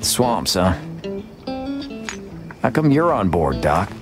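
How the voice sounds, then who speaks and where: Low-voiced, stoic cowboy gunslinger. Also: male voice, big pitch swings, slow, music in the background, exaggerated voice. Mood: businesslike. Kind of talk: video game acting.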